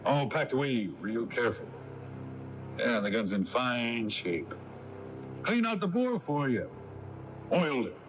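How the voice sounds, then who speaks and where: A man's voice: medium pitch, sing-song, unhurried, long pauses. An actor playing a hearty, overbearing middle-aged father in a radio drama, with a folksy manner. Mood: jovial. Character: hearty, pushy, hard-drinking gun-loving father. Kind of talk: 1980s radio drama acting. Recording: dull, noisy taped AM radio broadcast.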